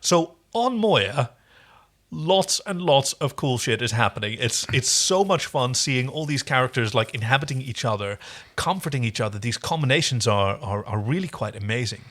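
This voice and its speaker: A man's voice: mid-range voice, lively intonation, moderate pace, a bit bright. A man hosting a podcast, casually recapping a TV episode in an enthusiastic, jokey way. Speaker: podcast host.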